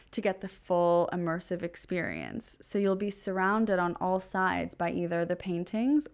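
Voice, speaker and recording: Woman, gallery representative as interviewee. Slow, deliberate delivery, smooth clear tone, articulate art explainer. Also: lowish voice.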